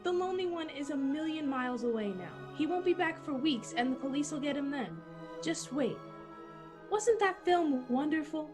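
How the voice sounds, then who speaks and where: Actress doing a stubborn carefree small town lady in her thirties, old radio drama, high voice swooping all over. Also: expressive, music in the background. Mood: carefree bravado. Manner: brisk, scoffing, emphatic. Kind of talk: 1940s radio play acting.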